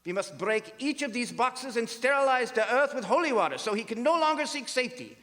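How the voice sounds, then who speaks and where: Man as very high-voiced, grave vampire-hunting professor. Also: big pitch swings, bright, forceful tone, full character voice. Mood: grave, resolute. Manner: solemn, deliberate, oratorical. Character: grave, authoritative male professor leading vampire hunters. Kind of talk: old-time radio drama acting. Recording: live theater stage, long hall reverb.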